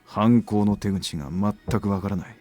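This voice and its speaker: A man's voice: fairly low pitch, fairly even intonation, fast, darkish tone, faint music behind, close to an everyday voice. An actor playing a brooding, analytical middle-aged male detective in a Japanese visual novel.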